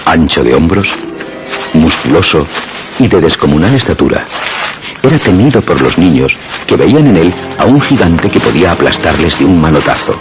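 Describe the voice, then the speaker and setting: A man narrating a suspense and horror radio drama in a solemn, literary, deliberate manner. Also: low-pitched, sing-song. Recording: narration over loud music.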